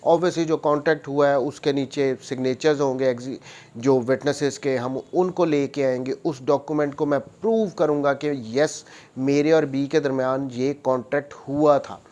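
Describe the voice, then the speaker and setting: A man's voice: mid-range voice, steady tone, moderate pace, a little mellow, dull audio. Pakistani man explaining like a lecturer. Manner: repeats and rephrases points, switches into English legal terms. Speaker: law lecturer, advocate. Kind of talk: explanatory lecture with worked examples.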